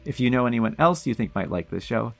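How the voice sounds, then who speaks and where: A man's voice: mid-range voice, lively intonation, a little fast, gravelly, a bit bright, faint music behind. An American man hosting a podcast, talking in a conversational way. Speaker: podcast host. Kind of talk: conversational interviewing.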